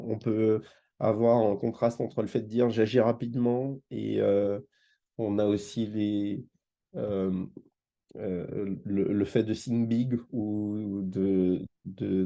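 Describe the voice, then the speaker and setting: Gravelly, dark-voiced man presenting. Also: fairly low pitch. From Martinique, France. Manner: hesitant, explanatory, with repeated words. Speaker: engagement manager, guest speaker. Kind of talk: informal conference presentation with slides.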